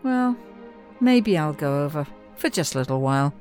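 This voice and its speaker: A concerned wife in a science fiction audio drama. Her voice is a little low, gentle and questioning, with a domestic manner. Faint music plays behind her. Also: big pitch swings, normal speed. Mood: considerate.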